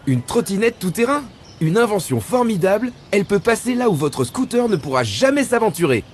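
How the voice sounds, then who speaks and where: Eager, high-voiced cartoon adventurer. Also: male voice, sing-song, fairly quick, a little hiss or hum. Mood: enthusiastic. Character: eager, friendly young male cartoon adventurer. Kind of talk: cartoon voice acting.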